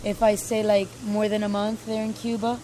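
A woman's voice: mid-range voice, little pitch movement, normal speed, dark tone. A young American woman chatting casually and talkatively as an interviewee, repeating herself for emphasis. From United States. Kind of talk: casual chat. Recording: noisy location with vehicle sounds.

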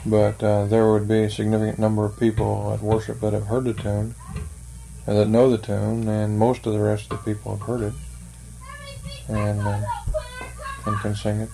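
Older man, gravelly and flat, slow hesitant talker; faint noise on the tape. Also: on the low side, poor audio. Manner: slow, hesitant, thoughtful, many fillers. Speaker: interviewee, church member. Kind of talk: oral history interview.

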